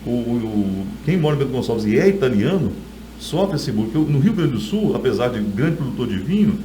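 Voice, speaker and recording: A man's voice: medium pitch, very animated, fairly quick, mellow. Middle-aged Brazilian man, noisy recording. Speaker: author being interviewed. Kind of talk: conversational interview storytelling.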